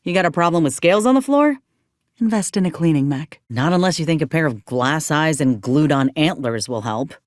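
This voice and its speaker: A woman's voice: low-pitched, animated, brisk, no echo. A woman playing a wry, plainspoken former combat medic in a video game, loyal to her company, with dry, sardonic, folksy phrasing.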